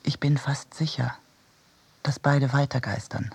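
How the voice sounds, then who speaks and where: A man reading literary radio prose in a measured, reflective manner. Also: mid-range voice, steady tone, vocal fry, slightly rough. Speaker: narrator.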